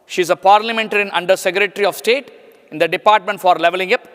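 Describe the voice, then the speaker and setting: A man's voice: high-pitched, fast talker, a bit bright. A man hosting an on-stage talk, formally reading out a guest's titles and asking questions. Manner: formal, reads titles in a list. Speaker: event chairman and host. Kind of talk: formal introduction and interview questions. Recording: reverberant hall with faint music.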